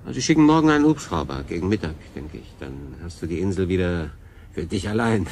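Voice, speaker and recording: A castaway sailor in a sci-fi radio play. He is wry and weary, with a lowish voice and terse, argumentative delivery. Faint ambience sits behind him. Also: big pitch swings, brisk, darkish tone, slight background noise.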